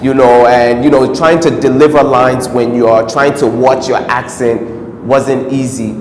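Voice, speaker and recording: A man with an American accent, an actor answering interview questions in a relaxed, rambling way with lots of fillers. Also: mid-range voice, measured pace, echoey room, big-room sound, background noise. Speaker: film actor.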